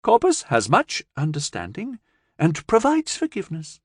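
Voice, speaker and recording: A childlike young alien creature with a high, lifted voice that speaks in careful, broken formal phrases, as a male narrator puts her on. The delivery is slow and earnest, and the sound is dry and close. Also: huge pitch swings, no room sound, heavily acted.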